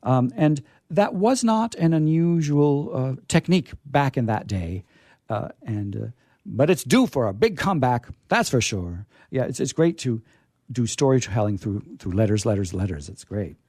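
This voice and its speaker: Mellow American man, halting radio host. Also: medium pitch, expressive, dry recording, studio quality. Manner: halting, discursive, with emphatic repetition. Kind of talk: casual book chat.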